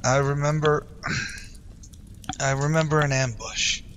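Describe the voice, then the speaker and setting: A man's voice: on the high side, little pitch movement, slow pace, fairly bright tone, slight background noise. A man playing a gritty, loyal, wounded Texas Ranger in a radio western. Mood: weak, dazed.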